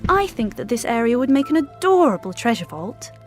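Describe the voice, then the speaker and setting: A woman's voice: fairly high pitch, sing-song, fairly quick, music in the background. An actress voicing a fussy, condescending noblewoman in a video game. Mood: chirpy. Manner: genteel, chirpy, condescending. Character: prim, fussy noblewoman, house-proud and bossy. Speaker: game character.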